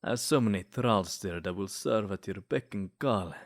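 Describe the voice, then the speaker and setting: A man's voice: fairly low pitch, normal speed, natural voice. A man playing a smug, possessive, lovesick vampire in a comedic ASMR roleplay, theatrical and taunting one moment and sweet-talking the next. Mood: smug wooing.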